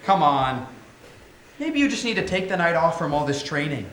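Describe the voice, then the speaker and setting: A man's voice: a little high, very animated, normal speed, a bit bright. A man playing a charming, teasing doctor in a sci-fi stage reading. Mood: teasing, flirtatious. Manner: playful, teasing, theatrical. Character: charming, flirtatious, teasing male doctor in a Martian colony. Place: theatre stage. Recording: roomy theatre recording with faint noise.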